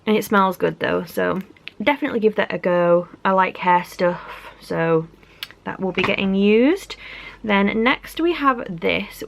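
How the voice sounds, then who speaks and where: A woman's voice: medium pitch, vocal fry, a little hiss or hum. A young woman from England with a stuffy, congested cold, chatting casually through an unboxing with lots of likes and ums. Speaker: beauty vlogger.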